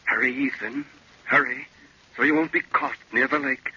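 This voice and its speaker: A man's voice: medium pitch, normal speed, sharp tone, husky, full character voice. A man acting a sinister, urgent inner voice that commands and insinuates, in an old-time radio drama. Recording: dull old radio recording.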